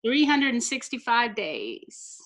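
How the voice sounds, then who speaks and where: A middle-aged American woman, a teacher reading a picture book aloud to children with careful, deliberate enunciation over a video call. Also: on the high side, very animated. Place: small room indoors, on a video call.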